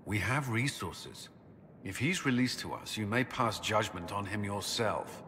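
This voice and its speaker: An actor playing a stern, conflicted military advisor in a video game, giving serious, measured briefings. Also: male voice, lowish voice, animated. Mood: conflicted, disapproving. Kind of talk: dramatic video game acting. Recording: reverberant hall, faint background noise.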